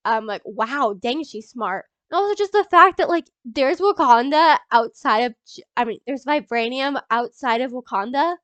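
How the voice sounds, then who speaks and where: A young woman with a high voice and a stuffy, congested nose from a cold, chatting excitedly to camera. She rambles, restarts and laughs between thoughts. The sound is close and dry, with no room around it. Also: normal speed, dry recording, dull audio. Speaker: YouTuber. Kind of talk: casual movie reaction vlog.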